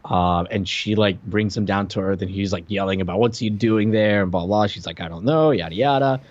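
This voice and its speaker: A man's voice: on the low side, fairly quick, dry recording, muffled recording. An American man co-hosting a podcast, talking through a TV show in an informal, hedging, conversational way. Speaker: podcast co-host. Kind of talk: casual chat reviewing a TV show.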